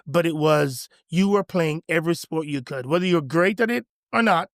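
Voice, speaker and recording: Middle-aged male pastor from Jamaica, podcast guest; lively, enthusiastic storyteller, slightly high voice, dry close mic. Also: normal speed, no room sound. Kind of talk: podcast interview conversation.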